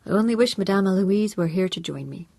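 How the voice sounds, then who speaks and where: A woman's voice: mid-range voice, lively intonation, fairly quick, creaky, warm tone, dry recording. A woman reading an audiobook voices a suave, polite, calculating man who keeps a casual, guarded tone.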